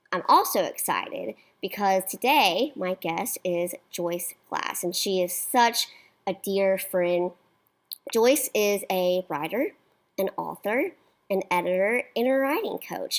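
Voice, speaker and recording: A woman hosting an interview show from her studio, speaking with enthusiasm and emphasis. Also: mid-range voice. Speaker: host. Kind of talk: interview show host, intro to camera then casual chat. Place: home studio.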